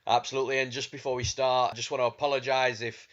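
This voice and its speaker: A man hosting a fight podcast, his voice a little croaky, reading his intro in a formal announcer way. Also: medium pitch, level tone, fast talker, lo-fi sound. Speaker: podcast host. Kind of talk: scripted intro and sponsor read.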